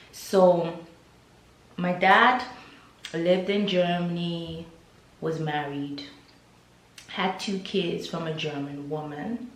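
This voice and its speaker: A young Nigerian-accented woman speaks in a fairly low voice, taking her time over a personal story. Her telling is reflective and hesitant. The room around her rings with a noticeable echo. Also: a little hiss or hum. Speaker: vlogger.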